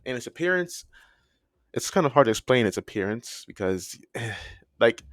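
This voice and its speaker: A man's voice: medium pitch, very animated, moderate pace, fairly bright tone, dry recording. A man giving a casual explainer as a video host, rambling and often repeating or correcting himself as he goes. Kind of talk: casual, loosely structured explainer commentary.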